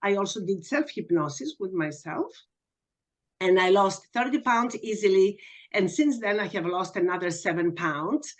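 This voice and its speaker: A woman's voice: mid-range voice, lively intonation, moderate pace. A woman in her sixties from Greece, a guest hypnotherapist chatting and telling her story in a podcast interview. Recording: muffled lo-fi remote audio.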